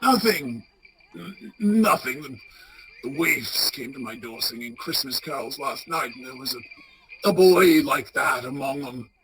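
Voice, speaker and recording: A woman playing an anxious, sentimental old male miser in a radio play, stammering and halting with emotion. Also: very deep voice, expressive, fairly bright tone, slight background noise, exaggerated voice, low-quality recording. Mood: sorrowful, regretful.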